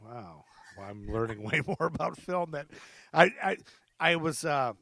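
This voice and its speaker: A man's voice: a little high, expressive, unhurried, gravelly, a crowd in the background. A middle-aged man hosting a podcast, chatting casually in a rambling, self-deprecating way and laughing often. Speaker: podcast host. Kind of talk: casual chat.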